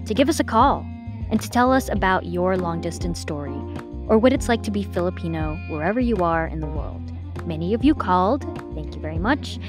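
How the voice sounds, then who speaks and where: A woman hosting a podcast, talking in a friendly conversational way. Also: mid-range voice. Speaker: podcast host.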